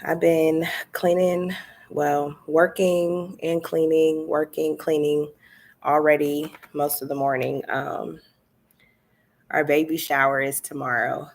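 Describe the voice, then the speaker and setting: A woman's voice: low-pitched, little pitch movement, slow. A woman hosting a casual live stream, chatting with lots of fillers and laughs, with a slightly stuffy nose. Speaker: candle business coach hosting a live stream.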